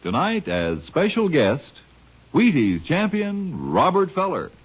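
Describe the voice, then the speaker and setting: A male American radio pitchman sells emphatically with huge swings in pitch. His voice is husky and folksy, on a dull vintage recording. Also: huge pitch swings, slow pace. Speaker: commercial announcer. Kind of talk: 1950s radio advertising pitch.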